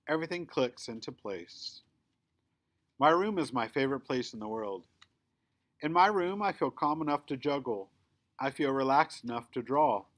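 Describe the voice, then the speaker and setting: A man reading a children's story aloud in the first person, with expressive, emphatic storytelling. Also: medium pitch. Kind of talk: scripted children's book read-aloud, first-person narration.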